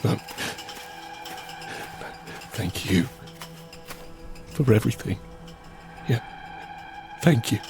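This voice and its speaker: A man's voice: fairly low pitch, expressive, extremely slow, pauses often, exaggerated voice. Man acting a tense male apocalypse survivor, music behind. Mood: tense, hushed. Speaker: narrator and lead character in audio drama. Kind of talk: first-person dramatic narration with acted dialogue. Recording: background music under the voice.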